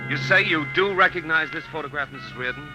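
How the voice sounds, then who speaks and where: A man's voice: fairly high pitch, fast, lightly acted, rough recording. An actor playing an earnest, plain-spoken college man in dramatic vintage radio narration and dialogue. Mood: subdued. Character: earnest college man narrating his professor friend's story. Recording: old radio recording with music.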